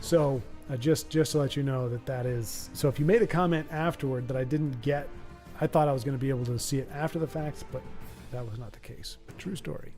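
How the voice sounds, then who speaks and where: A man's voice: mid-range voice, brisk, a little mellow, soft background music. A man hosting a card game livestream, chatting casually and rambling, often stammering and repeating words. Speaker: stream host and commentator.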